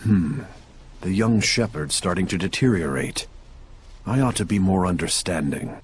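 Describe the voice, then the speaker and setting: A man playing a brooding, reserved, gruffly concerned figure in an animated game, speaking tersely. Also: low voice, moderate pace, a little hiss or hum. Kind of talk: animated game cutscene acting.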